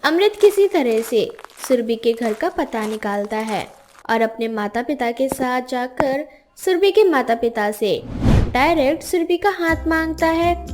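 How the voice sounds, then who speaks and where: A woman's voice: high voice, expressive, a little slow, darkish tone. A woman narrating a scripted moral story. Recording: music behind the voice.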